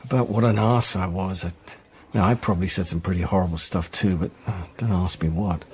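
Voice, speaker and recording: An actor playing a despairing, self-loathing jailed husband in a radio drama, speaking in a hesitant, downbeat way. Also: male voice, low-pitched, fairly even intonation, brisk, darkish tone, a little hiss or hum, lightly acted. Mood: defensive. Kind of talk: naturalistic radio drama acting.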